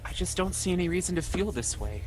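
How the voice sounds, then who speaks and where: An actor playing a lonely, ashamed man in his twenties, speaking in a halting, confessional way in an audio drama. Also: high voice, expressive, slight background noise. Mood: self-blaming, anguished. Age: 20s. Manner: halting, confessional, repeats himself. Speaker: podcast host acting in own audio drama.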